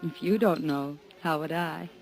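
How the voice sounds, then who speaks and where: A woman's voice: deep voice, unhurried, low-quality recording. An actress playing a teasing, mysterious woman on vacation in a 1950s radio drama. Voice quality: coy, teasing. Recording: old radio recording with music.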